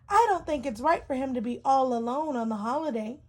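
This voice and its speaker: A woman's voice: sits high, a little fast. A woman voicing a kind, eager young frog in a children's storybook, speaking earnestly and politely.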